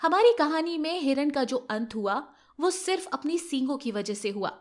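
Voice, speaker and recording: A woman tells children's moral stories in a high-pitched voice. Her delivery is scripted narration with lively, animated swings in intonation. She sounds like a storyteller addressing young listeners. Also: moderate pace.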